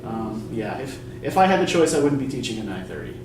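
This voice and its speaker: A man's voice: mid-range voice, sing-song, a little fast, distant mic, background noise. A young man from Eastern Pennsylvania teaching a college writing class, talking casually, rambling and self-deprecating, often checking in with "right?". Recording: echoey classroom recorded from afar.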